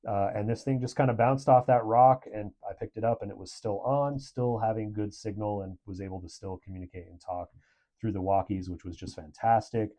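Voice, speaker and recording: A man's voice: fairly low pitch, steady tone, brisk, warm tone. A man giving a casual product review in a conversational, approving way.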